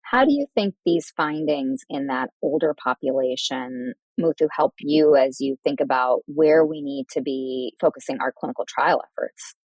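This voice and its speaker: Female medical podcast host; low voice, formal and engaged, recorded dry. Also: moderate pace, hardly any pauses, no echo, highs cut off. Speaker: podcast host, physician interviewer. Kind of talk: professional medical interview.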